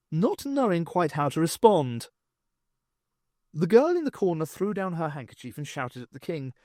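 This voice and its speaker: A man reading an audiobook aloud with an expressive, wry storytelling manner. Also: medium pitch, no room sound, studio quality. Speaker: audiobook reader. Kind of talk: audiobook narration.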